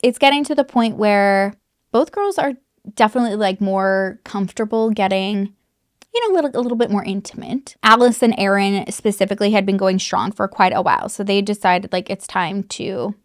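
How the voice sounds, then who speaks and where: A woman's voice: mid-range voice, fairly quick, dry recording, studio quality. A woman recounting a true crime case in a casual, chatty way, full of fillers and the odd swear word. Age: adult mother.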